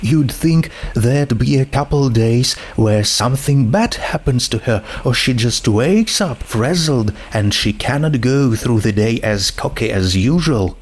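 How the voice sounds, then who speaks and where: A man's voice: mid-range voice, lively intonation, unhurried, few pauses. A man voicing an earnest, troubled teenage girl with a hesitant, searching manner in a story reading. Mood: frustrated, uneasy. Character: troubled, earnest teenage girl doubting her world is real. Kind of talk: fan fiction character reading.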